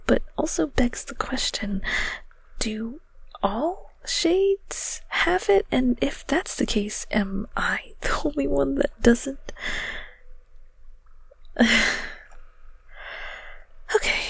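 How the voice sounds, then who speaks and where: A woman playing a shy, friendly female ghost of the underworld in an intimate ASMR audio roleplay, soft and whispery, with hesitant, stammering, gently awkward speech. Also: fairly high pitch, vocal fry, breathy edge, no room sound, heavily acted. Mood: curious, flustered.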